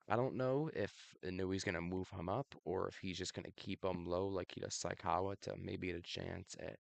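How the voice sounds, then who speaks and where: A young man casually giving his predictions for a video, slightly raspy from allergies, with lots of ums. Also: deep voice, no echo. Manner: relaxed, many ums, laughs at himself. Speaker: video host.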